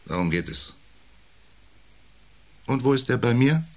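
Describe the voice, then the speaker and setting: An actor playing a domineering, self-important husband around forty who needles and belittles in an intimate German radio play. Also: male voice, medium pitch, lively intonation, measured pace, natural voice. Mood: contemptuous, agitated. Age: 40s. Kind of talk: intimate radio drama dialogue.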